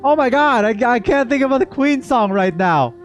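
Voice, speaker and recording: A man's voice: very high-pitched, animated, moderate pace. A man doing casual playthrough reaction commentary, goofy and theatrical, rambling and slipping into sing-song. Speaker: gaming YouTuber. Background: faint game music.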